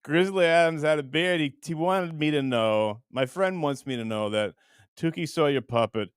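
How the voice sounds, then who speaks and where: A man hosting a casual livestream, rambling and halting as he chats with viewers in a crude, jokey, profane way. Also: fairly high pitch, smooth, dry recording, studio quality. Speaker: livestream host.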